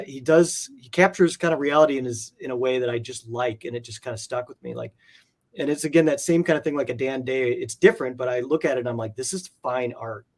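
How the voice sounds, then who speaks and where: A man chatting casually and enthusiastically as an interview guest, rambling and peppering his talk with "like". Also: mid-range voice. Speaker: interview guest, comic art collector. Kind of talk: casual enthusiastic chat about comic art.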